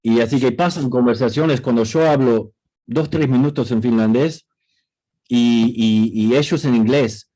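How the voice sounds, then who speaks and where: A man speaking in on a video call, talking casually at a book presentation and often pausing and restarting. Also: mid-range voice, dark tone. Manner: hesitant, many restarts and fillers. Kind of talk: casual panel talk.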